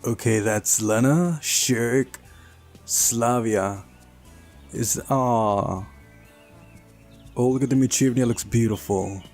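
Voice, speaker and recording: Casting: male playthrough host, unhurried visual novel narrator with wry asides, soft music behind. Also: mid-range voice. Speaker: video game playthrough host. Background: faint music.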